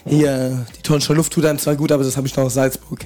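A man from northern Germany with a cold, hosting a relaxed, chatty podcast conversation and asking his guest questions. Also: medium pitch, fast. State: has a cold. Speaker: podcast host. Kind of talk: casual podcast chat.

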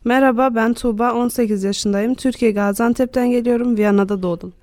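A woman's voice: on the high side, steady tone, brisk, darkish tone. An eighteen-year-old woman from Vienna, a guest on school radio, giving short plain answers. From Vienna, Austria. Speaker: interview guest.

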